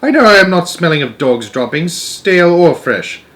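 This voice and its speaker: A man plays a young storybook grandchild, curious and easily outraged. The pitch is raised and swoops widely, with incredulous questions and exclamations. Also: big pitch swings, moderate pace, a bit bright. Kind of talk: storybook read-aloud character.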